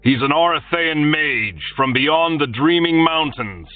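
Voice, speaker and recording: A man's voice: fairly high pitch, animated, unhurried, dry recording, far from the mic, narrow, tinny audio, soft background music, voice pushed up. A man doing a cocky young swordsman. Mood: defiant. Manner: cheerful, taunting. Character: defiant, bold young male swordsman.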